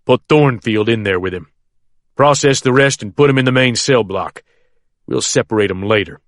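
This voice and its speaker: A man voicing a hot-tempered, physically huge male sheriff who barks his orders in a coarse manner and drops to a soft menace when he threatens someone. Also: medium pitch, moderate pace, no echo, clean studio sound, lightly acted. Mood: gruff.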